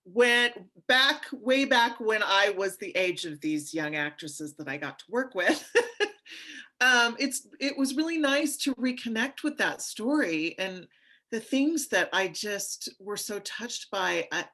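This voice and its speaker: A middle-aged or older actress reminisces in casual conversation. Her voice is bright and forward, medium in pitch, and she takes her time. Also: big pitch swings, slow. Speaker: actress, cast member.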